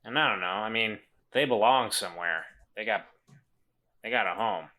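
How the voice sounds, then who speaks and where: A man playing a world-weary, self-doubting man who talks in a halting, stammering way in a tabletop game. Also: fairly low pitch, sharp tone. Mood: downcast, wistful. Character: Walter, hesitant, world-weary man helping lost children.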